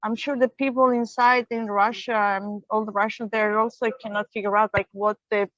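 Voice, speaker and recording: A woman's voice: mid-range voice, flat delivery, moderate pace, fairly bright tone, no room sound. Woman on a panel, kinda hesitant, mid voice, muffled dry audio. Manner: hesitant, searching for words. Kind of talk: answering a question on a panel.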